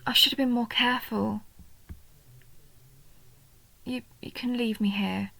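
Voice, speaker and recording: Woman playing a slow, bashful young goblin girl. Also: fairly high pitch, fairly even intonation, heavily acted. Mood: apologetic, shy. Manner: hesitant, bashful, gentle, breathy half-whispers. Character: shy, sweet, nerdy young goblin girl on a date. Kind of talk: roleplay audio, soft intimate story acting.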